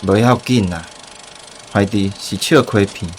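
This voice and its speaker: A man's voice: on the low side, a little slow, slight background noise, close to an everyday voice. A man playing a blunt, offhand master film narrator in a radio drama, with a Taiwanese accent. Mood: weary, reassuring. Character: adult male cinema narrator, weary master to apprentice.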